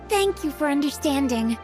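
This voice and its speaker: A young female restaurant worker in a game, high-voiced and deferential. She is courteous and a little hesitant, with music clearly behind her. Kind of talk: video game voice acting.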